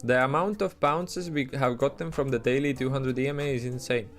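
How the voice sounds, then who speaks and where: A man's voice: medium pitch, fairly quick, faint music behind. A man with an Estonian accent, talking in a loose, chatty way as he streams live and answers his chat, with many restarts and fillers. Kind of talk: casual live-stream commentary.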